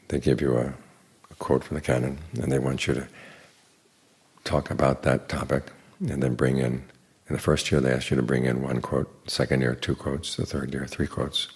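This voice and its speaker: An older man, a Buddhist monk, giving an evening dharma talk in an unhurried, plain teaching manner with dry humor. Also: darkish tone. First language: English. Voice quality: very deep gravelly voice.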